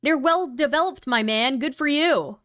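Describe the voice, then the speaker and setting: A woman comments casually, as on a livestream. Her voice is clearly high and recorded very dry. She jokes in slang, circling back over the same phrasing. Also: normal speed, fairly bright tone, dry recording. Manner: slangy, repetitive joking.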